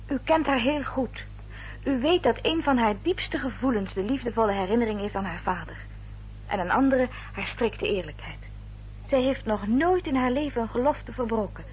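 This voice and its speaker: A woman playing a resolute, guarded Victorian gentlewoman in a Dutch radio drama, speaking in a measured, formal, articulate way. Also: on the high side, close to an everyday voice. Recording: dull old radio recording, hum and noise.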